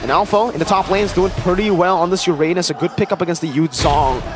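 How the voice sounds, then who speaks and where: An esports commentator giving excitable live play-by-play of a game, swinging into shouts on big plays. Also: mid-range voice, fast talker.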